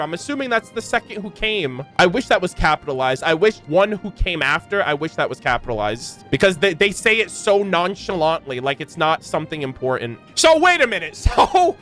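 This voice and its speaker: High-voiced man, casual streamer. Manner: animated, incredulous, slangy and profane. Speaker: game reaction streamer. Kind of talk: casual live reaction commentary, reading game lore aloud.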